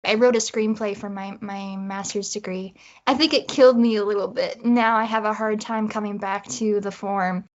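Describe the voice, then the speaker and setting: A woman's voice: mid-range voice, steady tone, normal speed. A woman with a Canadian accent chatting casually as a guest writer in an interview. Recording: dull lo-fi audio.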